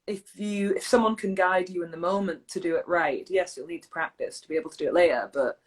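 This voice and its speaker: A woman's voice: lowish voice, fast talker. A woman hosting a podcast interview, chatting in a friendly, agreeable way and laughing often. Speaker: podcast host, vocal coach. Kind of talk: casual interview chat.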